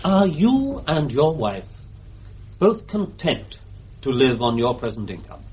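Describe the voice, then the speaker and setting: An actor playing a polite, persistent English defence barrister in a radio courtroom drama, formal and measured. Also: male voice, mid-range voice, huge pitch swings, darkish tone. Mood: probing. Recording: dull, noisy archive radio recording.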